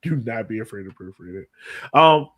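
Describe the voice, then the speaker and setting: A man hosting a sports podcast in casual chat, animated and often repeating phrases for emphasis. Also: lowish voice, normal speed. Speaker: podcast host.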